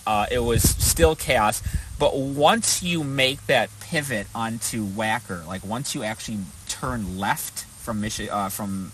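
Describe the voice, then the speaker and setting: A man's voice: mid-range voice, background noise. A man telling a story casually to camera in an unscripted, rambling way, griping and swearing as he goes. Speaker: vlogger recounting a protest he attended.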